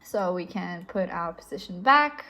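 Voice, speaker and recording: A woman's voice: lowish voice, lively intonation, measured pace, sharp tone. A young woman with a South African accent, patiently explaining chess positions as an instructor. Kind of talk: explaining chess moves on a board.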